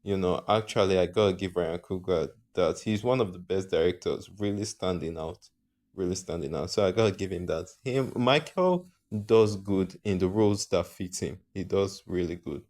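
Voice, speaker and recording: A man's voice: low-pitched, moderate pace, darkish tone. A man from Africa hosting his own channel, giving casual opinions in a rambling, hesitant way and often correcting himself. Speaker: channel host.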